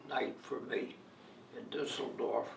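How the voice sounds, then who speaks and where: An elderly man in his eighties or older telling personal stories in a halting, deliberate way, as in an interview. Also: medium pitch, steady tone, creaky. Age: 80s or older. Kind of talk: reminiscing, personal storytelling. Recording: echoey room, distant mic, faint noise.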